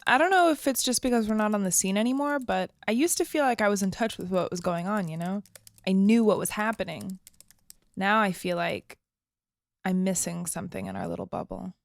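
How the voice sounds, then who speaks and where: A wistful young woman living off-grid played by an actress in a naturalistic audio drama. She speaks tentatively and thoughtfully at an average pitch, close up in a dry recording. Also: fairly quick, vocal fry, no echo, close to an everyday voice. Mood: uneasy.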